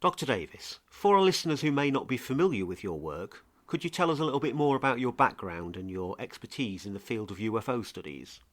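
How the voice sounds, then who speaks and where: A man's voice: medium pitch, sing-song, fairly quick, hardly any pauses, no echo, clean studio sound. A man hosting a scripted podcast, narrating and putting interview questions to a guest.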